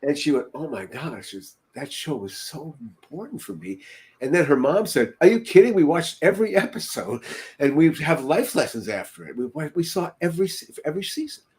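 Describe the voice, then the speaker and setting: A man's voice: fairly high pitch, big pitch swings, moderate pace, a little mellow. An older man chatting casually on a podcast video call, telling anecdotes in an emphatic way and repeating words for emphasis. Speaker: podcast co-host. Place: room at home, video call.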